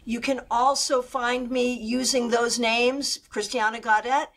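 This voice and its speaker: An older woman with a loud, good speaking voice, chatting animatedly as a guest over a video call. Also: a little high, measured pace. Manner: animated, gestures a lot while talking. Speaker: tarot reader guest. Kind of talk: casual interview chat. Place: home room on a video call.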